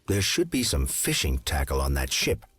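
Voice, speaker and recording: A composed gentleman detective from an adventure game. His voice is deep and very husky, moving expressively as he gives purposeful guidance. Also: wildly swooping pitch, normal speed, exaggerated voice. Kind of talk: video game character acting.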